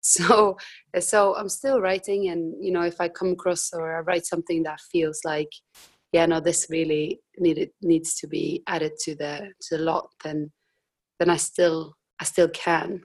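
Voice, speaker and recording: A young woman with a husky voice, a musician guest chatting casually in an interview, hesitant with frequent restarts. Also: low-pitched, little pitch movement, measured pace.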